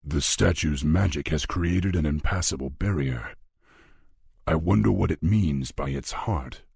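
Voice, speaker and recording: Casting: young orc warlord, fantasy game. Very husky, gravel-laden, deep and unhurried, stern and commanding. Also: male voice, darkish tone, no room sound, clean studio sound, exaggerated voice. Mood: pondering. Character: young male orc war chief, stern, commanding, thoughtful. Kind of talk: fantasy video game acting.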